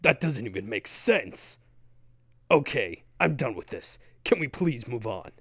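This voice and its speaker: A man's voice: medium pitch, big pitch swings, measured pace, gravelly, a bit bright, slightly rough, dry recording. Exasperated man, dry close sound.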